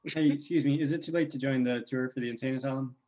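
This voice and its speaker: A man plays a mild, callous husband in an improvised comedy scene. The voice sits at a medium pitch, hesitant and apologetic yet matter-of-fact. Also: fairly even intonation, fast, a little mellow, close to an everyday voice. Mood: polite, hesitant. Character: polite, callous husband touring insane asylums.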